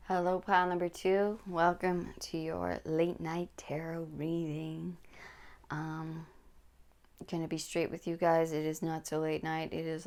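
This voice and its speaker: A woman with a low, gravelly voice reads tarot cards to her listeners. She talks slowly in an even, flat tone and often slips into a soft whisper close to the mic. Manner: slow, soothing, drops into whispers. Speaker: tarot reader. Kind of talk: pick-a-card tarot reading.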